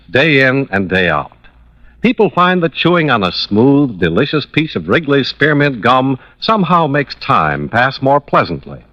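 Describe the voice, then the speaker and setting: A man's voice: mid-range voice, sharp tone, dry recording. A man working as a radio announcer, reading a scripted sponsor message in polished 1950s selling style. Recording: dull, lo-fi old radio recording.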